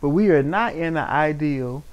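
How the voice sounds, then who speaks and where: Wry man with a fairly high voice. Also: expressive, normal speed, dull audio. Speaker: podcast co-host. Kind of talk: casual chat about wrestling.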